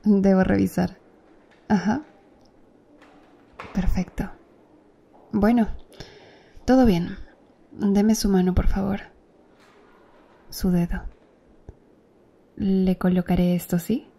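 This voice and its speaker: A woman acting as a calm, attentive female doctor in an ASMR roleplay, speaking softly and close to the listener. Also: mid-range voice, takes it slowly, gravelly, pauses often. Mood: gentle. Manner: soft, close-up ASMR speech, gently addressing the listener.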